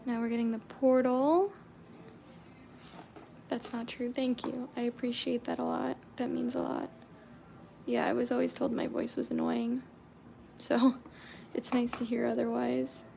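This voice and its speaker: Young American woman from Georgia, high-pitched and very gravelly, chatty livestream host who murmurs while she paints. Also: level tone, unhurried, pauses often, a little hiss or hum. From Georgia, USA. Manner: chatty, reads viewer comments aloud, drops to soft murmurs while painting. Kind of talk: casual livestream chat.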